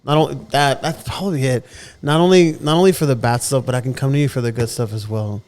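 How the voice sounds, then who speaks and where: A man's voice: mid-range voice, steady tone, quick pace, a loud crowd. Earnest man in his thirties, casual chat. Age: 30s. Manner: earnest, repeats words as he gathers his thoughts. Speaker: podcast co-host.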